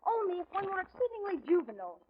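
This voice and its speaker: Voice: very high-pitched, sing-song, rapid-fire. An actor playing a bratty, snide, precocious young boy with haughty, formal speech in a 1940s radio comedy. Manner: haughty, contemptuous, formal wording. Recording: muffled, noisy 1940s radio recording.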